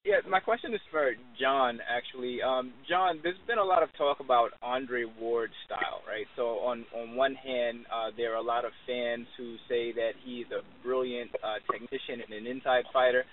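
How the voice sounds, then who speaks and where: A man's voice: mid-range voice, moderate pace, few pauses. A man working as a boxing reporter, asking long, hesitant questions on a press call. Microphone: phone call. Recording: hissy, narrowband phone conference line.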